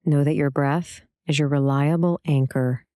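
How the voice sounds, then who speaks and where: A meditation instructor speaks in a smooth, clear voice with a dark, relaxed tone. The delivery is gentle and soothing, with long pauses between phrases. The sound is dry, with no room around it. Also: mid-range voice, no echo. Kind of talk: guided meditation, scripted.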